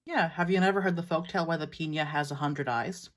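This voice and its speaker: A Filipino American woman who tells a folktale in a relaxed, matter-of-fact way. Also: low voice, quick pace. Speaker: storyteller. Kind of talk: casual storytelling.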